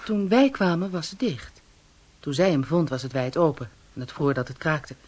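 A woman playing a brisk, matter-of-fact police investigator with a dryly teasing manner in a naturalistic radio drama. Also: low-pitched, expressive, a little mellow. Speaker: actor in a radio play.

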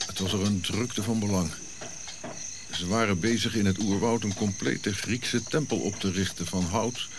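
Actor as a low-voiced, reflective African prince narrating. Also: male voice, noisy background, lightly acted. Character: reflective Ashanti prince recounting his childhood memories. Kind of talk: scripted radio drama narration.

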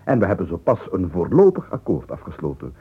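Husky, mock-courtly Flemish husband. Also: male voice, deep voice, huge pitch swings, brisk, muffled recording, heavily acted. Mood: mock-solemn, triumphant. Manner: florid, mock-formal, teasing. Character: gleeful, scheming husband, mockingly courtly and sugary. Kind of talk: comic radio play acting. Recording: band-limited 1980s radio play.

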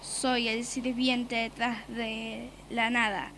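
Sharp, menacing beast. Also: female voice, a little high, flat delivery, takes it slowly, slight background noise, rough recording. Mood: grim, scornful. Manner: taunting, commanding. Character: menacing beast, servant of the Nothing, mocking predator. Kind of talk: radio drama acting.

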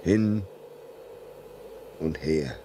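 An actor playing a haunted, subdued railway signalman in a radio play, speaking haltingly. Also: a bass voice, lively intonation, warm tone, heavily acted. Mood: haunted, grave. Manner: slow, halting, heavy delivery. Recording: noisy radio drama recording with ambience.

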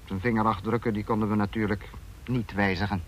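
An actor playing a calculating dictator in a Dutch radio drama, speaking in a measured, authoritative way. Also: male voice, lowish voice, steady tone, a little hiss or hum, lightly acted. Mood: pensive, calculating. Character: calculating male dictator, the Protector of a fascist state. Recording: dull vintage radio recording.

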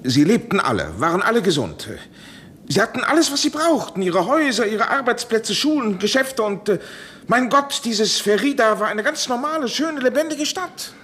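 Evasive professor, widely swinging pitch. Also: male voice, very animated, moderate pace, a bit bright, slightly rough, heavily acted. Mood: wistful, pained. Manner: hesitant, hedging, urgently pleading. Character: evasive, anxious male professor guarding a secret. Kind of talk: 1970s German radio play acting.

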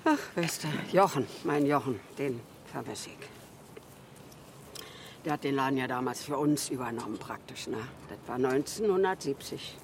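A woman's voice: a bass voice, lively intonation, normal speed. Radio drama: elderly widow, fond, rambling, down-to-earth; audible noisy ambience behind her. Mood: nostalgic, wistful. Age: older. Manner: rambling, reminiscing, with colloquial tag questions. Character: chatty, fond old Berlin widow, former flower seller. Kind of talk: naturalistic radio drama dialogue.

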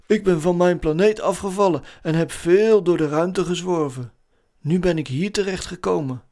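A man's voice: sits high, normal speed, mellow, pitched above the performer's own voice. A man voicing a naive, lonely creature from space in a children's story. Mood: bewildered.

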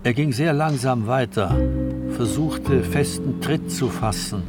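A man narrates a radio play in scripted, literary style. His pitch sits in the middle range. Music runs strongly beneath the narration. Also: measured pace.

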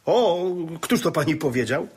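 Witty bachelor gent in old comedy radio play, bit husky, animated, dry and ironic, teasing. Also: male voice, a little high, moderate pace, heavily acted. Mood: probing, ironic. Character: wry, teasing gentleman bachelor landowner with ironic wit.

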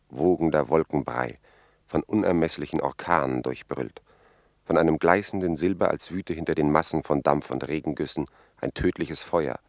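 An actor playing a grave expedition member who gives a formal, measured eyewitness report in a 1950s German radio drama. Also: male voice, a bass voice, gravelly, no echo, studio quality. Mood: grave, ominous.

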